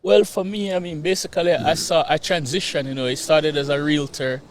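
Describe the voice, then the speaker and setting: A man's voice: on the high side, fairly even intonation, brisk, a little hiss or hum. Man, Jamaican accent, interview guest, casual chat, chuckles often, doubles up his words. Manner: laughs often, repeats words as he talks. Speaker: guest, real estate investor.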